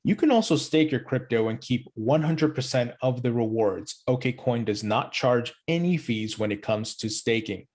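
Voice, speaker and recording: A man hosting a crypto interview channel, reading a sponsor spot and asking his guest questions in an earnest, eager way. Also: mid-range voice. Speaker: interview host.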